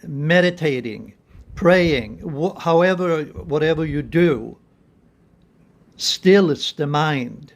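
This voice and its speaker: Slow-spoken older man, higher-pitched. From Sweden. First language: Swedish. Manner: deliberate, pausing, earnest. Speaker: spiritual vlogger. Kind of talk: reflective spiritual talk to camera. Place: small room indoors. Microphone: phone at arm's length.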